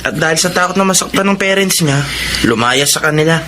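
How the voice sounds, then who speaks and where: A Filipino actor playing a kind, sincere young son in a radio drama, explaining things earnestly. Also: male voice, a little high, a little fast, background noise, natural voice.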